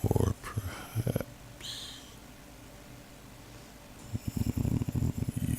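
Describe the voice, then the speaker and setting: A man voicing a huge, gentle older male dragon in a deep, gravelly half-whisper, with slow, courtly, old-fashioned speech in an ASMR roleplay. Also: heavily acted. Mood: gentle, musing. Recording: noisy amateur home microphone.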